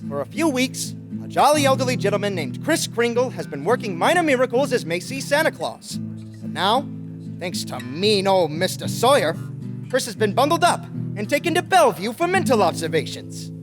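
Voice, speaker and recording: A man's voice: very high-pitched, sing-song, normal speed. A man narrating a story in scripted old-time radio style. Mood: storytelling. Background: music with plucked guitar.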